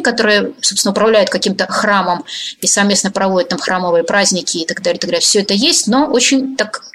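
Woman researcher talking through her fieldwork, lots of ums and restarts, plain middle pitch. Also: fairly bright tone. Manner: thoughtful, many fillers and restarts. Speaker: researcher, interview guest. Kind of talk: interview answers.

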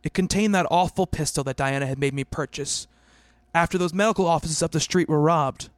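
A man playing an earnest psychiatrist who narrates his own story in a radio play, in a measured, gentle, literary manner. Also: a little high, no room sound, natural voice. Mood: measured, reflective.

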